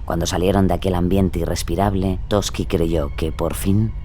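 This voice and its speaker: A man's voice: low-pitched, steady tone, moderate pace, dark tone, slight background noise, close to an everyday voice. A man reading scripted, dramatic narration for a crime audio drama. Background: music.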